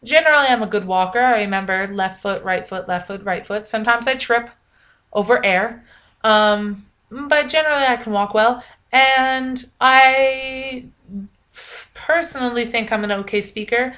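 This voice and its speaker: Young adult woman, casual vlogger; loud, projecting, self-deprecating ramble. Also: fairly high pitch, moderate pace. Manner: rambling, self-mocking, lots of ums. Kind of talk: casual vlog chat.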